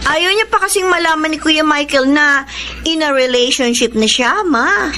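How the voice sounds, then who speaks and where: A woman acting a bubbly, teasing young sister in a Tagalog radio drama, affectionate and animated. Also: high voice, normal speed, lightly acted. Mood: bright, teasing. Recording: clearly noisy background ambience.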